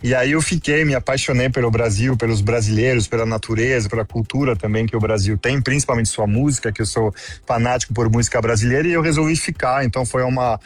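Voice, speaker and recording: A man's voice: mid-range voice, fast. Middle-aged man, enthusiastic guest. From France. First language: French. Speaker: interview guest working in gastronomy. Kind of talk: conversational interview answers.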